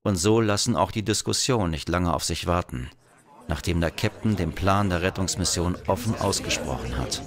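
A man's voice: deep voice, animated, moderate pace. A man giving scripted narration for a sci-fi audio drama.

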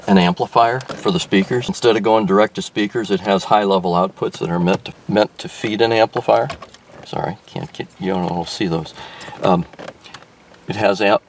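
An older man explaining a car radio install as he works, thinking aloud with frequent restarts and fillers, recorded inside a car in daylight. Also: low voice. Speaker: car restoration vlogger. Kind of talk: casual hands-on explanation. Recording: distant mic with faint background noise.

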